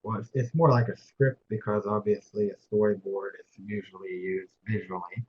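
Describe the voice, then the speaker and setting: A quiet-voiced young man gives a screen-share tutorial with a lowish voice and frequent hesitations. The sound comes through a dull, muffled webcam mic, with a faint hiss underneath. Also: level tone. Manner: soft-spoken, halting with many uh fillers. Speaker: teaching assistant. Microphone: webcam microphone.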